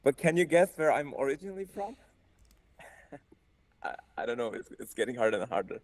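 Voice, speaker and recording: A man's voice: medium pitch, big pitch swings, moderate pace. Young man with a Turkish accent. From Turkey. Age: young adult. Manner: hesitant, restarts, soft trailing phrases. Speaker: guest co-developer. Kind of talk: casual chat on a coding stream. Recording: faint music behind.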